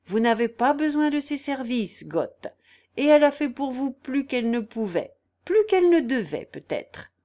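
A woman voicing a male village priest in a story, fair-minded and speaking with firm, measured authority. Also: sits high, very animated, dark tone, voice pushed up. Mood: firm defence.

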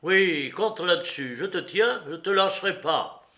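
Audiobook role: rough, easily riled fairground man, acted by the narrator, loud, blustering, pitched up, colloquial. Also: normal speed. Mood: angry, threatening.

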